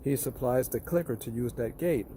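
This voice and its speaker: A man's voice: medium pitch, normal speed, dark tone, slight background noise. A man giving a casual narrated walkthrough as a tenant, explaining things plainly and repeating points for emphasis, recorded while walking around a parking lot.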